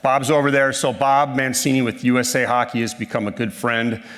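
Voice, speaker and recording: A man in his fifties addresses a conference room, his mid-pitched voice carrying a long tail of hall reverb. He talks in a folksy, self-deprecating way, with plenty of ums and restarts. The sound comes through a handheld mic in a large space. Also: flat delivery, fairly quick, fairly bright tone. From northern Minnesota, USA. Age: 50s. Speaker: conference speaker. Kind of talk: conversational presentation.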